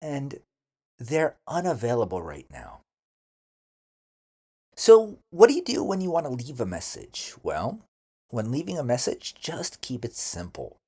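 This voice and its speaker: Male language instructor, husky voice, slow and deliberate delivery with careful enunciation for learners. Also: mid-range voice, huge pitch swings, darkish tone. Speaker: English teacher. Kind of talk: scripted language lesson with model phrases to repeat.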